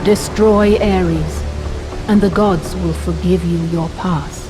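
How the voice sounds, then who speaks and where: An actress playing a regal, solemn goddess in a video game, stately and commanding. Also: female voice, lowish voice, steady tone, slow, rough recording. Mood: solemn, urging. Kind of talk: dramatic video game acting. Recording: music behind.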